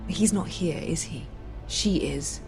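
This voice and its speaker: An actress playing an icy, menacing queen in a game drama, imperious and cutting, speaking with deliberate weight. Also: on the low side, gravelly, music in the background. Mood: sharp, suspicious. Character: haughty, icy, menacing queen in middle adulthood.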